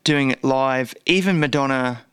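A man's voice: mid-range voice, unhurried, a bit bright, no room sound, studio quality. A man co-hosting a casual chat, talking with gushing enthusiasm. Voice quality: says he has a croaky voice. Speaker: podcast co-host.

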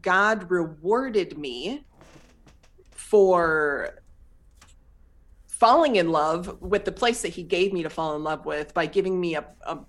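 Animated American woman, low-pitched. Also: takes it slowly, long pauses. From United States. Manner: animated, laughing, lots of fillers. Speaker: guest. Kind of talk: casual interview storytelling.

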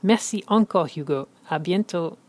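A woman's voice: on the low side, unhurried, a little mellow, no echo. A woman from South Africa whose first language is English, carefully reading out a prepared message in learner French. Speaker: listener sending a message.

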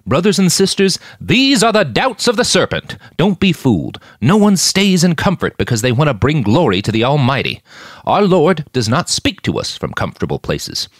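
A man's voice: fairly high pitch, sing-song, normal speed, no room sound, studio quality, voice pushed up. A man playing a fervent pastor who preaches martyrdom in an emphatic, exhorting sermon style for a fiction story. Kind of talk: fiery sermon within audiobook narration.

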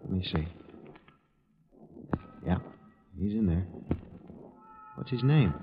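A man's voice: deep voice, expressive, slow, full character voice. An American man playing a terse, watchful hired detective in a 1950s radio drama, with a casual, laconic delivery. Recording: dull, noisy vintage radio recording.

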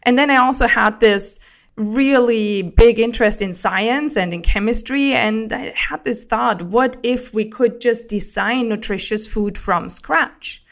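A woman's voice: on the high side, unhurried. A woman from Austria, a founder being interviewed, who explains her work enthusiastically and laughs easily. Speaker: company founder, guest. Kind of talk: interview answers.

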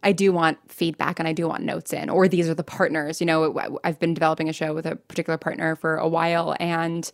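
A woman with a fairly low voice gives a casual, candid interview as a podcast creator. She talks in a conversational way and slips into quoted remarks. The sound is dry, with hardly any room in it. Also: little pitch movement, fast talker, few pauses, dry recording.

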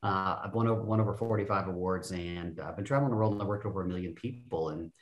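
A man in his fifties, a podcast guest, telling his story in a casual, animated and self-deprecating way with frequent laughs. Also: low voice, fast talker, roomy sound, lo-fi sound. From United States. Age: 50s. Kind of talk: casual interview talk.

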